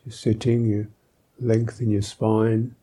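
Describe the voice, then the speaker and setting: Slow, dark-voiced man guiding meditation. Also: fairly low pitch, little pitch movement, breathy edge. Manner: gentle, unhurried, thoughtful with long pauses. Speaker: Buddhist monk, meditation teacher. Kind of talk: guided meditation instruction.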